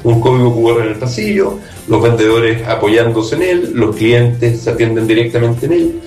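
A man with a Chilean accent reads a persuasive sales pitch and speaks straight to the listener. His voice sounds a little off-mic in a roomy, echoey space. Faint music plays under him. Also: normal speed, a little mellow, distant mic. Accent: Chilean Spanish. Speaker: startup CEO. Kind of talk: scripted pitch.

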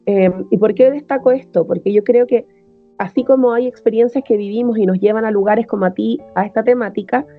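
A woman's voice: on the high side, a little fast, narrow, tinny audio, soft background music. A woman hosting an interview, talking fluently and conversationally. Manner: fluent, conversational, uses colloquial fillers. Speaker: interviewer, host. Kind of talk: interview chat.

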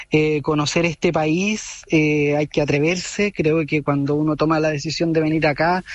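A Chilean man near forty, speaking with a high-pitched voice and a Chilean accent. He answers calmly, pausing on filler sounds and restarting sentences. The sound is dull and lo-fi, with no room echo. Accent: Chilean Spanish. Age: 30s. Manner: thoughtful, many fillers and restarts. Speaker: interviewee, student abroad. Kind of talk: casual interview answer.

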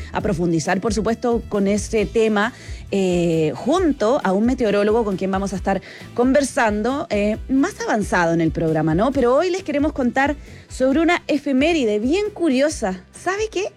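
A woman's voice: fairly high pitch, darkish tone. A woman with a Chilean accent presenting a chatty live radio show, speaking directly to listeners and her colleague in a conversational way. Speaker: radio host. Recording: music bed behind studio radio voice. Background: background music.

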